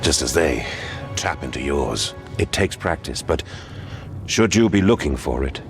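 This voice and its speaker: 굵고 낮은 목소리의 늙은 광신도 지휘관. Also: male voice, very deep voice, lively intonation, a little slow, over music, heavily acted. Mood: solemn. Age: older. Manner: solemn, commanding, grandly formal speech. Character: old, wounded male zealot leader, stern and unyielding. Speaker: zealot commander of the vault defenders. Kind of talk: video game cutscene voice acting.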